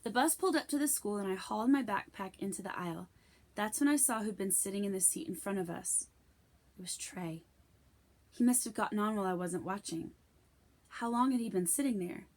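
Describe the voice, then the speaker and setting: A woman giving an expressive scripted reading of a children's novel as narrator. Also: medium pitch, brisk. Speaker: storybook reader.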